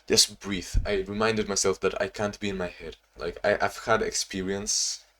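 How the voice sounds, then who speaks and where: A young man talking in a casual, reflective diary-style vlog, halting with frequent restarts and sounding a bit sleepy. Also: on the low side, steady tone, sharp tone. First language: not English; Portuguese not first language either. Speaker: vlogger.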